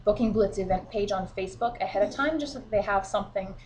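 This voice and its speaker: Woman, team leader, mild cold and sniffles, relaxed encouraging chat, mid-pitched. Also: fast talker, noisy background. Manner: chatty and encouraging, frequent fillers. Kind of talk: casual instructional vlog. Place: at home, children beside her.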